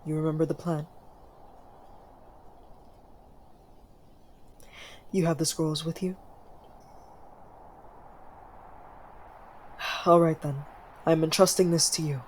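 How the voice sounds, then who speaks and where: A woman playing a proud young ice prince in an ASMR fantasy roleplay, haughty and stammering when flustered, then softening. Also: sits low, steady tone, brisk, a little hiss or hum, pitched below the performer's own voice, close to an everyday voice. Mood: composed, softening.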